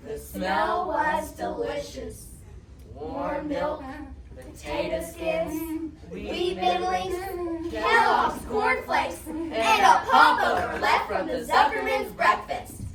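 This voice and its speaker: A young female narrator performing a storybook scene on stage, expressive and emphatic in her delivery. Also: very high-pitched, takes it slowly, a loud crowd. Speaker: student reader's theatre narrator. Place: competition performance room indoors. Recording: echoey room, distant mic, audience noise.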